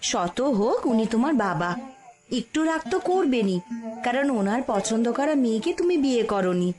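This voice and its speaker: A confident village woman, voiced by an actress in a cartoon family drama. Her voice is highish and swings expressively as she coaxes and persuades, over background music. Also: big pitch swings, moderate pace. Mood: reassuring. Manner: emphatic, coaxing. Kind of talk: dramatized cartoon story dialogue.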